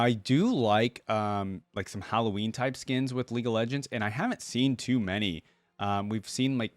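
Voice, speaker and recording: A man giving casual reaction commentary as a video host, talking informally and thinking out loud. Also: lowish voice, no echo.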